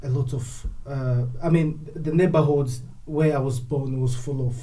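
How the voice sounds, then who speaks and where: A man in his late twenties from Congo, telling his personal story as a podcast guest, hesitant and halting. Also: mid-range voice, fairly even intonation, mellow. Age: late 20s. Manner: hesitant, halting with many uh fillers. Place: studio set with wooden slat wall. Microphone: desk mic on stand.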